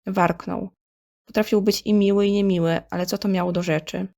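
A woman's voice: mid-range voice, fairly even intonation, brisk, warm tone. A woman reading scripted story narration in Polish as the narrator of an audio drama.

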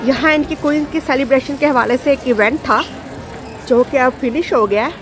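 A woman's voice: high-pitched, normal speed. A woman vlogging outdoors as she walks through a busy city square, chatting to viewers like a tour guide while filming on a handheld phone. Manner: chatty tour-guide commentary, calls to her child. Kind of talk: casual vlog narration while walking. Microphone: handheld phone camera. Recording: busy crowd and music behind.

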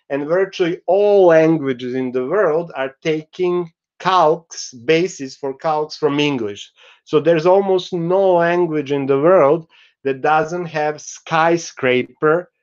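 A middle-aged man giving a university lecture over a video call, explaining slowly with many fillers. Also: a little high, clear, resonant tone, highs cut off. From Croatia. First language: Croatian. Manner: slow, explanatory, with frequent fillers. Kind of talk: recorded online lecture. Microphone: video call webcam.

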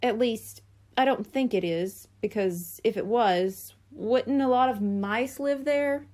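A woman voicing an excitable, stubborn young boy rabbit from a storybook, eager and boastful. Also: a little high, slow pace. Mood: indignant muttering.

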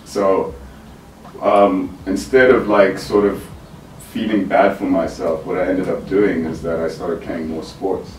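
Low-voiced young man in a roomy room. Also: a little slow. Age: young adult. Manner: relaxed, chatty, leans on "you know" and "right". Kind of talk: casual chat. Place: living room with bookshelf, indoors. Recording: roomy, distant, faint room hiss.

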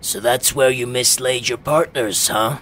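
An actor playing a brash, gruff young male superhero in an audio drama, slangy and sarcastic. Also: medium pitch, steady tone, measured pace, fairly bright tone, a little hiss or hum, lightly acted.

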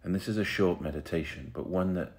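A man leading a guided meditation in a soothing, unhurried way, pausing between short phrases. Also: sits low, darkish tone.

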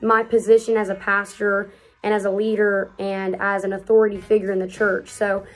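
An upbeat young woman in her early twenties talks to camera in a casual question-and-answer vlog, gesturing as she speaks. She is recorded close up in a small room at home, with soft music faintly underneath. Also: mid-range voice, flat delivery, normal speed, low-quality recording. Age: early 20s. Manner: chatty and upbeat, talks with lots of hand gestures. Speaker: youth pastor.

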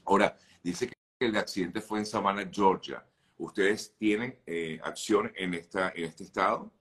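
A man in his fifties hosting an interview on a video call, reading viewer questions in a steady, brisk way. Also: sits low. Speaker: host, journalist. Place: home office. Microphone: video call webcam.

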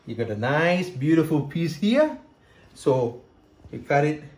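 A man's voice: on the high side, very animated, unhurried, darkish tone, a little hiss or hum. A middle-aged man from Hong Kong hosting a home cooking demonstration in his kitchen, explaining each step and checking in with "okay" and "right". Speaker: cooking show host. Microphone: camera close by, handheld.

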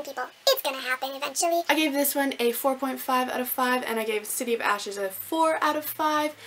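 A young woman chatting casually to camera as a book vlogger, animated, gushing and poking fun at herself. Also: a little high, slight background noise. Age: young adult. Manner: animated, gushing, self-mocking. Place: small room at home with bookshelves.

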